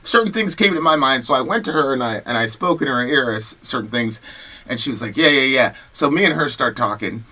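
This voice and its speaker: A man giving casual, slangy commentary and telling personal stories in an animated, conversational way, as the host of a show. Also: medium pitch, fairly quick.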